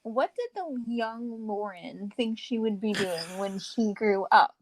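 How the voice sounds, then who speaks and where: A woman co-hosting a podcast, introducing segments and asking questions in a measured way with some ums. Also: mid-range voice, expressive, low-quality recording. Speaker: podcast co-host. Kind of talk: casual interview conversation.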